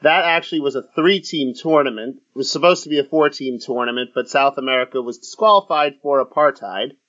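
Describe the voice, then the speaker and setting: A man hosting a casual sports podcast, talking in a halting way with many fillers and restarts. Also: mid-range voice, fairly bright tone. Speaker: podcast host. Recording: muffled lo-fi audio.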